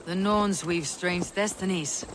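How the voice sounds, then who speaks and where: Firm, higher-voiced Viking warrior. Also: male voice, fairly even intonation, a little slow, background noise. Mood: measured, solemn. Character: steely, measured male Norse Viking warrior leader. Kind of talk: video game character acting.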